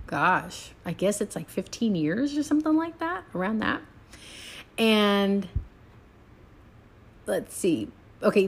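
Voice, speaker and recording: A woman's voice: medium pitch, slightly rough. Texan grandma podcaster in her 50s, chatty and bubbly, lots of likes and okays. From San Antonio, Texas. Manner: chatty and animated, says "like" and "okay?" a lot. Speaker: podcast host. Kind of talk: casual solo podcast chat.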